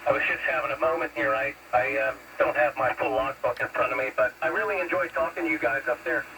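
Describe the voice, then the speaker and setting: Gravelly American man over noisy CB radio. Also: fairly high pitch, fast, sharp tone. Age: 50s. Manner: folksy, complimentary, formal radio phrasing. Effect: CB radio transmission. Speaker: CB radio operator. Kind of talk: casual two-way radio chat. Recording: noisy CB radio signal, distant.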